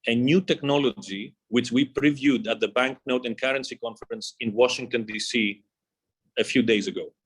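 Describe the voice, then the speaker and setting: A man with a medium-pitched voice gives a scripted corporate presentation in the role of a company executive. He reads formally and in measured fashion, setting out his material in short phrases.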